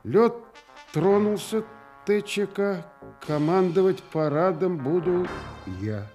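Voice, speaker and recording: This is a man declaiming telegrams in a satirical radio drama. The voice is slightly high and very animated, emphatic and theatrical, with faint music in the recording. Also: takes it slowly, highs cut off. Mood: pompous, emphatic.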